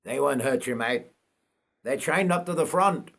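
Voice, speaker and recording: A man voicing a rough, brusque old bushman with a broad Australian accent, blunt and matey, in a read-aloud story. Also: medium pitch, unhurried, a bit bright. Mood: gruff, bossy. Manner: roaring, blunt, matey commands.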